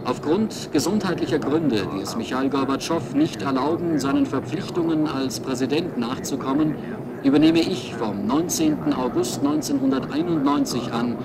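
A man's voice: a little high, wildly swooping pitch, moderate pace, gravelly. A man solemnly reading a formal official proclamation in a declamatory manner. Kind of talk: formal read-out announcement. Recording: very noisy ambient background.

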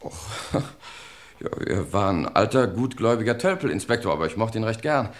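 An actor playing an excitable, flippant young nephew of a lord in a vintage crime radio drama, breezy and quick to get defensive. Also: male voice, fairly low pitch, close to an everyday voice.

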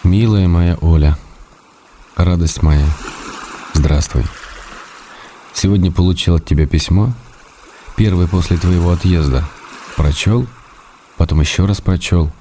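An actor in a radio drama reading the letters of a lonely man writer, measured, wryly tender and melancholy. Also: low voice, mellow, a little hiss or hum. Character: lonely man writer, wry and tender, missing his love.

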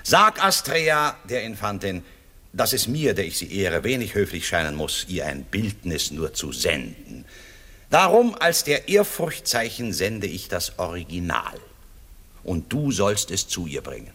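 An ardent, cunning prince in a verse radio play. His low voice is forceful and husky, declaiming with courtly insistence. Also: sing-song, measured pace, heavily acted. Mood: courtly irony. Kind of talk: classical verse radio drama.

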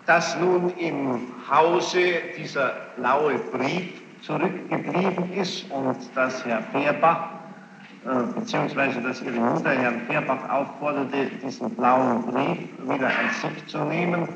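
A man's voice: mid-range voice, slow, vocal fry. Guy grilling a witness in court, formal and pushy, muffled old tape with lots of room echo. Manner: insistent, probing, repeats the witness's words back. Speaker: court examiner questioning a witness. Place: large reverberant courtroom. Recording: muffled, noisy, echoey archival courtroom tape.

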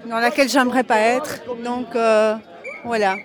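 A protester answering a street interview at a demonstration, soft-spoken and hesitant. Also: mid-range voice, fairly even intonation, moderate pace, a crowd in the background.

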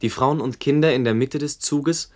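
A man's voice: mid-range voice, moderate pace. A man giving a sober, descriptive reading of literary memories for a radio documentary. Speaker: narrator.

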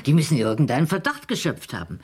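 A woman playing a triumphant, mischievous old grandmother in a radio drama, with a husky voice. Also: sits low, wildly swooping pitch, fast, a little mellow, full character voice. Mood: gleeful.

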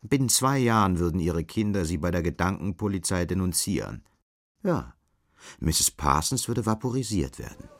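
A male narrator with a low voice reads literary prose for a radio drama. His delivery is measured and matter-of-fact. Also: very animated.

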